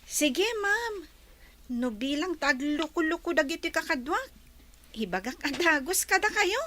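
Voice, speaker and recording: A woman's voice: high voice, very animated, unhurried, pushed and bright, heavily acted. A woman playing a young schoolgirl in a radio drama, with an Ilocano accent. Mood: eager. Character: young girl pupil in a village school.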